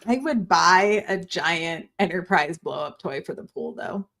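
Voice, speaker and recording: A woman's voice: lowish voice, expressive, measured pace, creaky, a bit bright. A woman co-hosting a podcast in casual, chatty banter, given to nerdy explaining. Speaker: podcast co-host.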